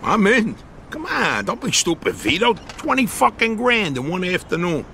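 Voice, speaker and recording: An actor playing an eager, greedy young mobster in a video game, crude, pushy and excitable. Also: male voice, high voice, normal speed, gravelly, a little hiss or hum, exaggerated voice. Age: young adult. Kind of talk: video game cutscene acting.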